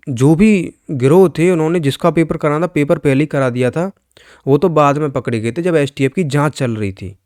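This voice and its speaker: A man's voice: fairly high pitch, darkish tone, no room sound. Man explaining figures, in a dry close sound. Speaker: exam news presenter. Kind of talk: informal explainer commentary over on-screen figures.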